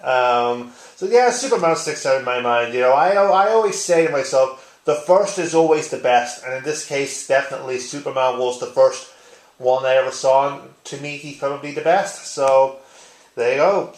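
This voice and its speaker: A man talks casually to his viewers in an echoey living room. His pitch sits in the middle range, and his manner is informal and blunt, like someone recording a home vlog. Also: normal speed. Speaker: video blogger. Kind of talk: casual tag-response vlog chat.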